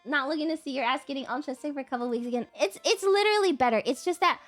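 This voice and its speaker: A high-voiced woman sounds stuffed up from a cold as she rambles through a casual stream. She slides into whiny, playful protests, and soft music plays faintly behind her home desk mic. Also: rapid-fire. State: congested with a cold. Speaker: livestreamer.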